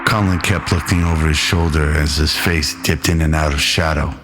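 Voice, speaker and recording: A man's voice: rumbling low, steady tone, moderate pace. A man delivering scripted narration for a radio-style drama. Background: music.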